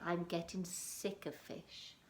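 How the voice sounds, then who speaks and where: A woman voicing a grumpy male bridge troll with loud, roaring exclamations in a storybook reading. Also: lowish voice, flat delivery, takes it slowly. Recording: roomy echo.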